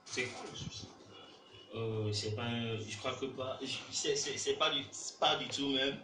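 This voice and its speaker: A man's voice: medium pitch, measured pace, noisy background. A man with a Togolese French accent giving casual, colloquial answers as a guest rapper in a radio interview. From Togo. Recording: echoey room, distant mic, faint music.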